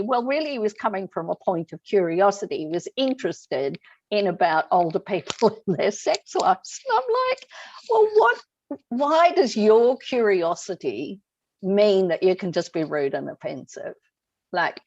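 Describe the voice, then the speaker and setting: A woman's voice: mid-range voice, expressive, normal speed, darkish tone. A middle-aged woman hosting a conversation, talking things through reflectively and asking her guest questions. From Australia. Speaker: host. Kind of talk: conversational discussion. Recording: slightly dull lo-fi audio.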